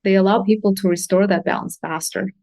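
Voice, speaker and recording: A woman's voice: medium pitch, fairly even intonation, a little fast, vocal fry, a little mellow, no echo. Female coach as interview guest, mid-range voice, candid and earnest, recorded dry. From Russia. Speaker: podcast guest, consultant and coach. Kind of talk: conversational interview explanation.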